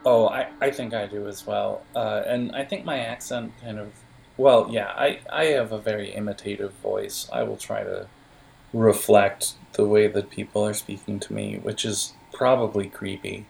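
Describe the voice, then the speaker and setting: A man improvising narration as game master in a halting, digressive manner, with music behind the voice. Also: on the low side, gravelly, darkish tone.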